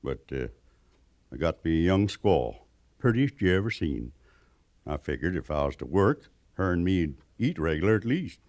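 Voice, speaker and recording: A man's voice: sits low, big pitch swings, moderate pace, a little mellow, no room sound. An American actor playing a plainspoken, weathered mountain man scout in a western radio drama, with a folksy rustic drawl. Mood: weary, rueful.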